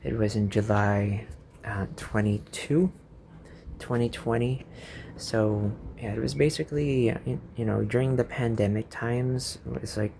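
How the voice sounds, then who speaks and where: Slow, creaky young Filipino-accented man. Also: medium pitch, steady tone, mellow, slight background noise. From Philippines. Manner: hesitant, many fillers and restarts, slow. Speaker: YouTuber. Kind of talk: unscripted rambling vlog.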